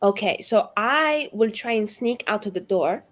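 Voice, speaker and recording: A woman's voice: mid-range voice, lively intonation, normal speed, a bit bright. A woman talking informally as a player in a tabletop roleplaying game, improvising in loose, everyday phrasing. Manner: informal, peppers talk with "like". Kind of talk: casual improvised game talk.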